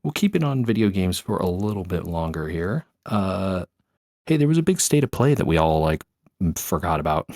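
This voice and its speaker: A man with a very deep voice co-hosts a gaming podcast. He talks in a casual, conversational way. The sound is dry, with little room to it. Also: very animated, moderate pace, a little mellow, no echo. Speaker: podcast co-host. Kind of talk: casual video game podcast chat.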